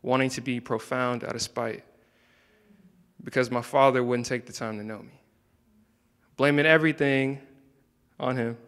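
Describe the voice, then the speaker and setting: Soft-spoken young man, reverberant hall. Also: mid-range voice, fairly even intonation, moderate pace, pauses often. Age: young adult. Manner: soft-spoken, hesitant between poems, with filler ums. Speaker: spoken word poet. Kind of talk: spoken word poetry reading. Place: indoor room, standing by a wall. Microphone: handheld microphone.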